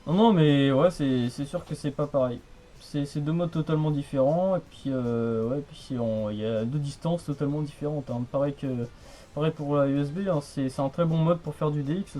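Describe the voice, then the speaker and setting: A man's voice: a little high, warm tone. A young man chatting casually over the radio in a rambling, repetitive, colloquial way. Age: young adult. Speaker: radio hobbyist, channel host. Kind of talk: casual radio contact chat.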